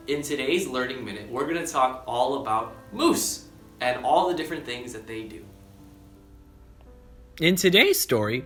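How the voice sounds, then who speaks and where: A man's voice: medium pitch, a bit bright. A man hosting preschool story time, reading aloud and explaining things simply and expressively to young children. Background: background music.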